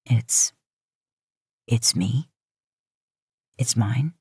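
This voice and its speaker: A woman voicing a gruff, earnest young male firefighter in a fan fiction audiobook, with a halting, drawling delivery. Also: mellow, no room sound, studio quality, heavily acted. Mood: stunned. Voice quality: deep drawl.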